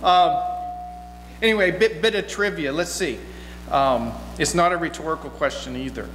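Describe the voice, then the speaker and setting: A man's voice: fairly high pitch, moderate pace, faint music behind. A middle-aged man giving an informal, story-driven sermon from a church pulpit in a folksy, conversational manner. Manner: folksy, conversational, animated asides. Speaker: guest preacher. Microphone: gooseneck pulpit microphone. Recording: echoey hall, long reverb, mains hum.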